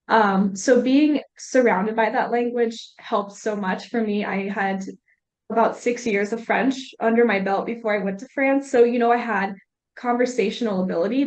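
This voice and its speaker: A young woman student speaking on a study abroad panel in a relaxed, conversational way. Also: medium pitch, muffled recording. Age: young adult. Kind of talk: panel discussion.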